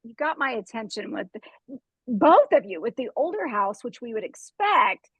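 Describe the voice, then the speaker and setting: A woman hosts a casual interview with a fairly high, husky voice. Her pitch moves in lively swings and she is quick to laugh. The sound is close and dry. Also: dry recording. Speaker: podcast host.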